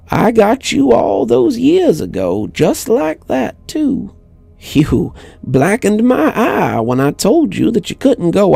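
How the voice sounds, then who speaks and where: Man voicing a high-pitched, bitter old woman. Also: gravelly, darkish tone, slightly rough, no room sound, heavily acted. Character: frail, bitter old widow confessing to murder. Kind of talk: acted horror story dialogue.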